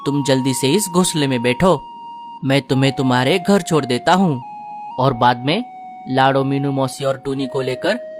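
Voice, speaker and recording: A man's voice: medium pitch, normal speed, music in the background, close to an everyday voice. An actor playing a kind male crow who offers help in a cartoon story. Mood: reassuring. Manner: earnest and reassuring.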